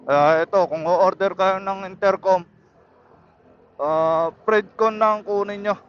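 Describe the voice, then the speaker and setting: A Filipino man with a somewhat high-pitched voice talks casually as he vlogs from his motorcycle. His voice comes through a helmet intercom mic, which leaves it dull and lo-fi. The delivery is informal chat, addressed to his viewers. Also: steady tone, slow. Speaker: motovlogger. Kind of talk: casual vlog chat while riding. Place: outdoors on a motorcycle on the road. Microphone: helmet intercom microphone.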